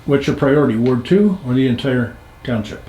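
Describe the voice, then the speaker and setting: A man's voice: medium pitch, animated, normal speed, warm tone. A man hosting an informal interview, with a folksy, easygoing way of phrasing questions. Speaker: interviewer, show host. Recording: echoey room.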